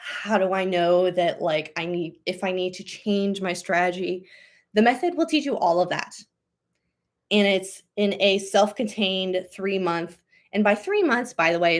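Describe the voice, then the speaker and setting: A woman's voice: lowish voice, moderate pace. A woman in her thirties hosting a live question-and-answer stream as a language coach, talking casually and upbeat with lots of "um" and "like". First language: English. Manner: chatty, upbeat, many fillers like "um" and "like".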